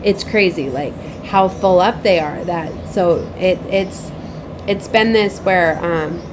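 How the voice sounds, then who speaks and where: A woman with a lowish voice talks casually, as in a personal spiritual vlog. She rambles and repeats herself, tagging phrases with little checks for agreement. Clear ambient noise with a vehicle-like rumble runs behind her. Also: takes it slowly. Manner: rambling, repetitive, frequently seeks agreement with tag questions. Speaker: spiritual advisor and tarot reader, host.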